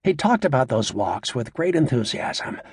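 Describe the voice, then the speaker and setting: A man narrating literary fiction for an audiobook in a measured, understated manner. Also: mid-range voice, sing-song, breathy edge, no echo, clean studio sound.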